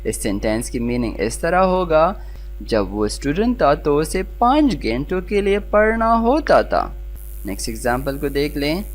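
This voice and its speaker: Pakistani-accented grammar instructor, mid-pitched, very varied intonation, deliberate enunciation, faint humming noise on the recording. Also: sing-song. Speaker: English grammar teacher. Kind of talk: scripted lesson, explaining and reading example sentences.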